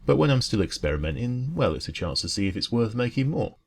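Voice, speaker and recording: A man playing a friendly, caring dragon who runs a cosy café, talking gently and reassuringly in an ASMR roleplay. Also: medium pitch, big pitch swings, fast, creaky, noisy background. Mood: cheerful, chatty.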